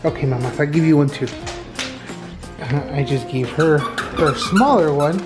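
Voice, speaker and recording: A man's voice: fairly high pitch, expressive, moderate pace. Casual man vlogging over background music. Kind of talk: casual home vlog chat.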